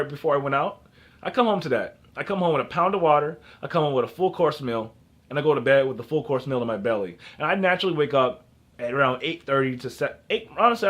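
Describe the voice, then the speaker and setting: A man's voice: on the high side, fairly bright tone. A man in his early twenties talking in a casual motivational vlog, rambling and restarting often as he gives earnest advice. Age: early 20s. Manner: rambling, with frequent restarts and repeats. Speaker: vlogger.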